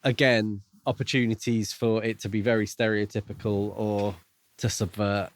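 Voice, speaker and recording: A man co-hosting a casual book discussion, speaking in a measured, reflective way. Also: lowish voice, flat delivery, dry recording. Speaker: podcast co-host.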